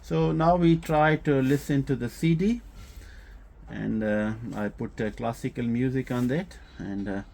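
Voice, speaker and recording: A man presents a piece of gear in a casual, unscripted way. His English is non-native and his delivery is halting, full of filled pauses. His pitch sits in a middle range for a man. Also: vocal fry, a little mellow, slight background noise. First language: not English. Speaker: presenter demonstrating a used boombox. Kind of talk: casual unscripted product demonstration.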